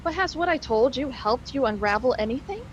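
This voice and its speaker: A woman's voice: high-pitched, animated, fairly quick, highs cut off, slight background noise. An actress playing an eager, persistent female sleuth in a radio drama, earnest and probing. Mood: eager, excited. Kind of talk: old-time radio drama acting.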